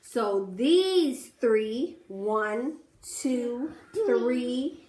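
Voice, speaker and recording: A woman's voice: a little high, lively intonation, drawn-out words, a little mellow. A woman teacher reading a picture book aloud to children, coaxing them to count along and asking them questions. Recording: roomy echo.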